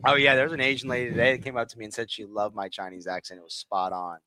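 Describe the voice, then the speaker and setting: A man's voice: medium pitch, little pitch movement, very fast, pushed and bright, no room sound, rough recording. A man co-hosting a podcast, teasing and blunt with lots of swearing. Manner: foul-mouthed, teasing, blunt. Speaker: podcast co-host. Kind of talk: casual podcast chat.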